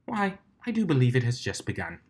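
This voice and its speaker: A man's voice: medium pitch, wildly swooping pitch, brisk, a little mellow, husky, voice pushed up. A man voicing a strict, formal female pony announcing competition rules in a stern, clipped, dryly menacing manner for a fan story reading. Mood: dryly pleased.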